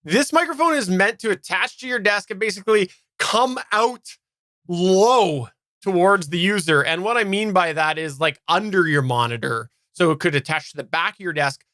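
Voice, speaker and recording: Bright-voiced man co-hosting a podcast. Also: sits high, normal speed, no room sound, studio quality. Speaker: podcast co-host. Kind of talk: casual live podcast chat. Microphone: close microphone on a boom arm.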